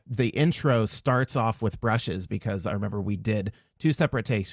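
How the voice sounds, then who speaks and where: A man's voice: on the low side, creaky, no echo, studio quality. A young man hosting an interview, asking questions and recalling stories in a chatty, friendly way full of fillers. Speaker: interviewer host. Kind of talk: casual interview conversation.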